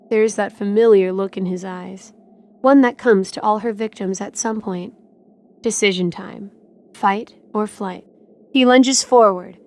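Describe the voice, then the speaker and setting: Female narrator doing scripted audio drama narration, medium pitch, storytelling delivery.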